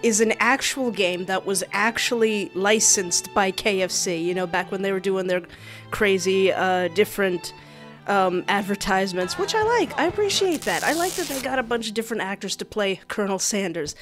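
A woman hosting a gaming video in a playful, expressive, jokey and theatrical way, close on the mic. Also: mid-range voice, normal speed, faint music behind. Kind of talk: playful let's-play commentary with read-aloud game text. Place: small home room. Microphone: close microphone on boom arm.

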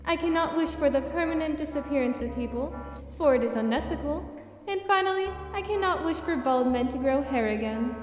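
A proud, formal female genie acted by a woman from an audio play. Her high voice is measured and composed, carried in echoing reverb with music behind it. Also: expressive. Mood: stately, calm. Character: haughty, composed female genie, easily offended. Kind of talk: audio theater acting.

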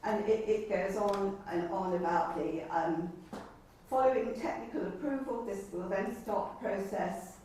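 English lady councillor talking formally, deliberate, big echoey room with faint noise behind her. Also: medium pitch, warm tone, recorded from a distance. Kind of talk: formal meeting speech, reading documents. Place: indoor council meeting room. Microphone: distant camera microphone.

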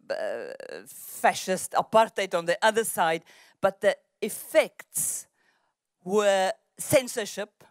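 Woman in her 60s, native Afrikaans speaker, lowish pitch, dry close handheld mic, reflective author on an outdoor stage. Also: dry recording. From South Africa. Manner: thoughtful, with frequent restarts. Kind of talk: festival panel conversation. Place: outdoor festival stage among trees. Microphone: handheld microphone.

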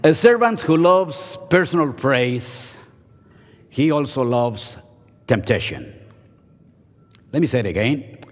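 Older man, preaching slowly. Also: mid-range voice, lively intonation. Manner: playful, self-mocking, dramatic pauses. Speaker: seminary professor and chapel speaker. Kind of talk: humorous chapel sermon. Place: large seminary chapel auditorium. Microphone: podium microphone. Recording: long hall reverb.

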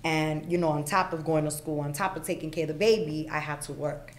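Low-voiced woman telling her life story. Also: fairly even intonation, brisk, echoey room, a little hiss or hum. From Puerto Rico. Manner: frank, plainspoken, many ums and you-knows. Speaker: podcast guest. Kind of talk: candid life story in conversation. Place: small studio room with acoustic panels. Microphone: desk boom mic.

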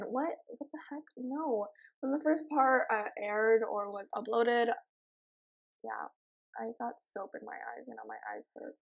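Raspy young woman chatting while gaming. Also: a little high, rough recording. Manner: chatty, rambling, giggly, with many ums. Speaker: gaming channel host. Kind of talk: casual let's play commentary.

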